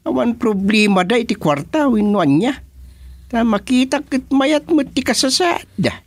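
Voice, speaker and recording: A man's voice: very high voice, animated, unhurried, no echo, full character voice. A man with an Ilocano Filipino accent playing an earnest, easily astonished village chairman and husband in a radio comedy drama. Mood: explaining.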